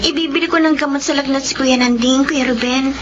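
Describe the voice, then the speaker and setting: A woman's voice: high voice, fairly even intonation, brisk, close to an everyday voice. An actress playing a young sister who worries about her older brother, speaking earnestly and pleadingly in a Filipino radio drama. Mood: worried. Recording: dull, noisy radio drama audio.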